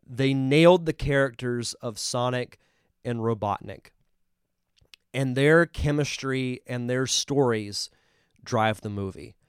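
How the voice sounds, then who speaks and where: A man's voice: mid-range voice, takes it slowly, smooth, no echo, studio quality. A man with a congested voice hosting a live podcast, chatting casually and enthusiastically as he reviews a film. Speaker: podcast host.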